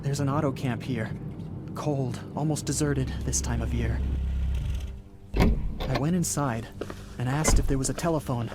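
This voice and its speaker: An actor playing a haunted, frightened male traveller, telling his ordeal in an intense, halting radio play style with repeated words. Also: medium pitch, heavy background noise, natural voice. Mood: haunted, tense. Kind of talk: dramatic first-person radio play narration. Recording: music under the voice. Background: dramatic music.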